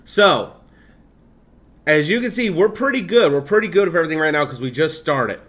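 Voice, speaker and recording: 30s guy streaming, mixed midwest southern cali accent, a bit high voiced, laughs a lot, chatty. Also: lively intonation, brisk, a bit bright. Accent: blended Midwestern, Southern and Californian. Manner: chatty, laughs often, reads game text aloud. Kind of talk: casual gameplay stream commentary.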